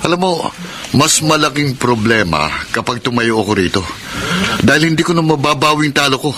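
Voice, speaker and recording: An actor playing a rude, selfish gambler husband in a Filipino radio drama, brusque and dismissive. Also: male voice, mid-range voice, animated, fairly quick, a little hiss or hum, close to an everyday voice. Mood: defiant, annoyed. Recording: radio drama with loud crowd chatter behind.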